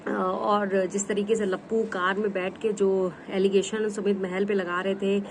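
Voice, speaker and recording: A woman's voice: mid-range voice, little pitch movement, unhurried, a little hiss or hum. A woman giving a casual roast commentary on online drama, scolding and mocking her targets as if talking straight to them. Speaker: commentary vlogger.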